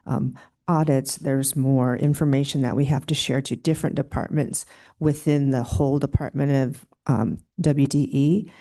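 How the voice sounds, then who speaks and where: Soft-spoken, gravelly, dark tone, superintendent, committee testimony. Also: mid-range voice. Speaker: school superintendent. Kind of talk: testimony at a legislative hearing.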